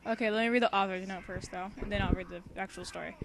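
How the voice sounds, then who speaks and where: A young woman reads a story aloud in a casual, homemade way. She has a medium-pitched voice and an excitable, giggly manner, and she drifts easily between the text and chatty asides. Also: fast talker, vocal fry, noisy background. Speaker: amateur fan fiction reader and co-host.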